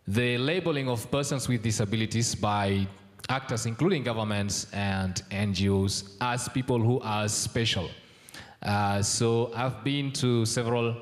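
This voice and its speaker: A young man from South Sudan giving a formal conference speech, measured and full of ums and uhs. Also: fairly low pitch. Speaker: conference speaker. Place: large conference hall. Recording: long hall reverb.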